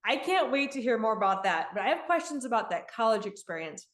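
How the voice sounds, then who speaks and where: A woman's voice: a little high, sing-song, fairly quick, a bit bright. A woman hosting an interview channel, introducing her guest enthusiastically and asking questions. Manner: enthusiastic, welcoming. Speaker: interview host. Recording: echoey room.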